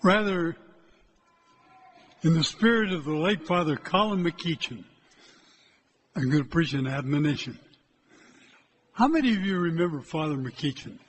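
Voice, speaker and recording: An older priest preaches to his parish in a loud, direct voice that sits a little high for a man. His words ring out through a church with a long echo, and a faint hiss sits under the recording. He talks plainly and does not keep his voice low. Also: lively intonation, a little slow, long pauses. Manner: loud, hard time keeping his voice low. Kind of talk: church exhortation. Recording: echoey church from a distance.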